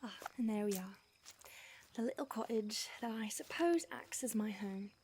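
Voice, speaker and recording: A woman's voice: mid-range voice, moderate pace, a little mellow, a little hiss or hum, close to an everyday voice. A woman voicing an aloof, prickly female vampire who is centuries old, speaking in a dry, sardonic whisper for an audio roleplay. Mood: dry teasing.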